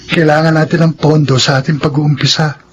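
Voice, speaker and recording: A man's voice: a little high, flat delivery, a little fast, slightly rough. A man playing an earnest, thrifty and proud young groom-to-be in a radio drama. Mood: earnest, practical. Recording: dull, noisy radio drama recording with ambience.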